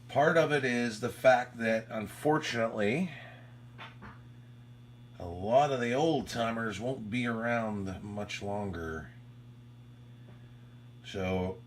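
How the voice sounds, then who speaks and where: A man chatting informally as an amateur radio operator, halting and reflective as he talks. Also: mid-range voice. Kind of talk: casual radio chat. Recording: faint hum and background noise.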